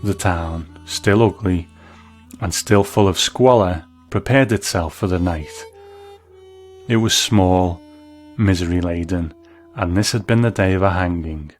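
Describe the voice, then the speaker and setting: A man's voice: low voice, a little slow, faint music behind. A man hosting and narrating a scripted commentary on classic television and radio. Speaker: host.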